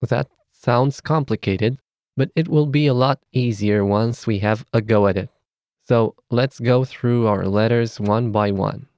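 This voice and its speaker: Romanian-accented male tutor, unhurried careful diction, dry studio sound. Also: medium pitch, a little mellow, no echo. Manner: measured, careful enunciation of letters. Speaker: language teacher. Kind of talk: scripted language lesson.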